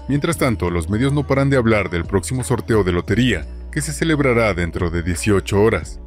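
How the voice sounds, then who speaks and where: A man with a somewhat deep voice delivers scripted narration that retells a film's story. He works as a recap narrator, speaking in voice-over close to the microphone. Faint music plays behind him.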